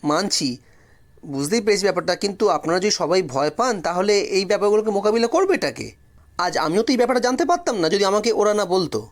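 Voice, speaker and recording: A man's voice: sits high, expressive, fast. A man narrating a story dramatically in the first person, as a storyteller.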